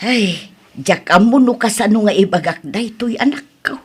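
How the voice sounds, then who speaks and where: A woman plays a headstrong widowed mother in an Ilocano comedy drama. Her voice is husky and swings widely in pitch as she pleads and insists with an Ilocano accent. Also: animated, moderate pace. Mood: coy, confessing. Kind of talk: Ilocano comedy radio-style drama acting.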